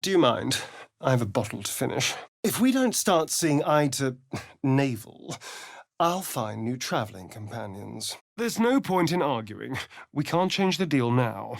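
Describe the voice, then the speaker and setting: An actor voicing a vain, sardonic vampire spawn rogue in a video game, arch and theatrical with a drawling delivery. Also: male voice, medium pitch, big pitch swings, a little slow, gravelly, dry recording, clean studio sound, heavily acted. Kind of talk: video game character voice lines.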